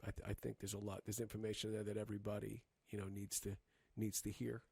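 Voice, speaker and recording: A man co-hosting a casual podcast chat, talking in halting bursts with many restarts and fillers. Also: on the low side, level tone, gravelly, dark tone, breathy edge, dry recording. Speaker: podcast co-host.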